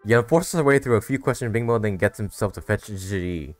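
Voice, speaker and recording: A man's voice: lowish voice, brisk. A man giving informal, reactive let's-play commentary, with game music behind him. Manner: informal, reactive, mild swearing. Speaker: gaming video host.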